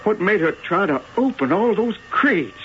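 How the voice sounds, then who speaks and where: An actor playing an anxious, earnest, flustered man with a stammering, excitable delivery in an old-time radio drama. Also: high voice, moderate pace. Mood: shaken, apologetic. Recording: dull noisy old radio recording.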